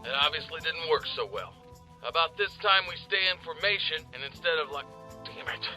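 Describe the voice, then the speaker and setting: A man playing an exasperated, talkative soldier trying to lead his squad in an animated series, fussy and sarcastic. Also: on the high side, fairly quick, soft background music, poor audio. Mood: frustrated. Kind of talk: animated sci-fi combat dialogue.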